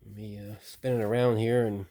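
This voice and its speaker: A man's voice: fairly low pitch, little pitch movement, normal speed, warm tone. A man casually narrating an unboxing as a hobbyist host, musing and thinking aloud. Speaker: radio hobbyist video host.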